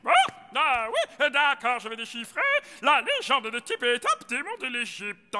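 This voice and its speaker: A man's voice: extremely high pitch, big pitch swings, a little slow, long reverb tail, very noisy, exaggerated voice. A man playing an eccentric, scatterbrained old creature who reads ancient legends in a cartoon. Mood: muttering, solemn. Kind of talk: cartoon dubbing.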